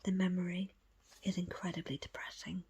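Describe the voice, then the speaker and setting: A woman reading a book aloud as ASMR, in a breathy, unvoiced whisper with a slow, hushed storytelling delivery. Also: on the low side, level tone. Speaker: audiobook reader.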